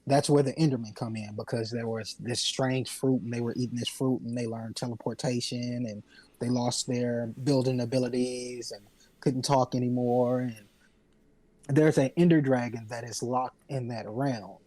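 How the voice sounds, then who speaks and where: A man talks in a casual podcast discussion, laying out game lore in long, earnest turns. His pitch sits in the middle range for a man, and the sound is dry, with very little room in it. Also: fairly even intonation, moderate pace, warm tone, no room sound, rough recording. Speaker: podcast panelist.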